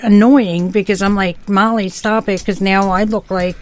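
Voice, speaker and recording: A woman's voice: mid-range voice, steady tone, normal speed, slight background noise. A woman, middle-aged or older, chatting casually as a podcast co-host. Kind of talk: casual chat. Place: home studio.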